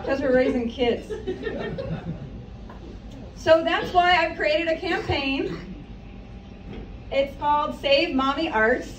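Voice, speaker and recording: A woman's voice: sits high, slow, long pauses. A woman in her thirties doing a stand-up comedy set, chatty and self-deprecating with rambling asides, on a small café stage. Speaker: stand-up comic. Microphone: mic on stand, filmed from across the room. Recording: roomy, distant, noisy café recording.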